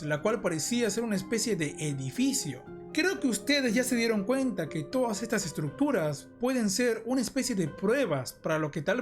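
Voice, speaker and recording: Give the voice of an online horror commentator who explains and speculates as they go. The delivery swings in pitch and stays animated. Faint music plays underneath. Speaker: video host and commentator. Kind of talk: analysis commentary on an analog horror video.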